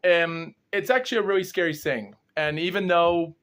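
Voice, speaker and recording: A man's voice: high voice, fairly even intonation, fairly bright tone, clean tone. Groggy young man rambling in a vlog. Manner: rambling, halting, run-on sentences without pauses for breath. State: groggy and under the weather. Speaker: host of a paranormal exploration series. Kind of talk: rambling solo vlog recap.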